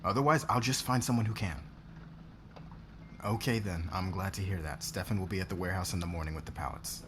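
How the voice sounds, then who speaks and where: A man acts out a demanding fashion boss in an ASMR audio drama. His voice sits low, his manner is commanding and persuasive, and clear ambient noise runs behind him. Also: a little fast, close to an everyday voice. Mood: stern.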